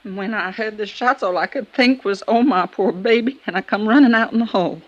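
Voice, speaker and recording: An actress playing a loyal, wary, deferential American housekeeper in a 1950s radio drama, folksy and hesitant. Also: female voice, medium pitch, brisk, lo-fi sound, lightly acted. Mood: sorrowful.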